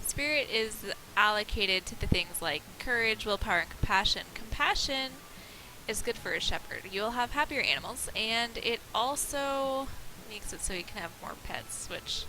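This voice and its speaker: Nasal woman, mid pitch, slight cold; rambling, self-mocking let's play host; noisy desk-mic recording. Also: animated, moderate pace, pushed and bright. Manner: chatty, self-deprecating, rambling. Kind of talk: casual gameplay commentary.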